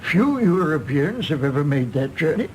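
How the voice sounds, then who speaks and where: High-voiced, frail old Englishman. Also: sing-song, darkish tone, a little hiss or hum, poor audio. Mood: wistful. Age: older. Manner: rambling, halting, drowsy. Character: frail, reminiscing old man, weary of his failing body. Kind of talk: stage play performed as broadcast drama.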